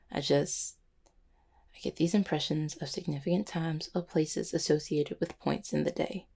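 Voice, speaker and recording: A woman voicing an anxious, streetwise cupid in an intimate audio roleplay, slangy and sweary, with a husky, halting, emotional delivery. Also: low-pitched, darkish tone, exaggerated voice. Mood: shaken, halting. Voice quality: husky, gravelly. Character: anxious, guilt-ridden cupid who helps clients, streetwise.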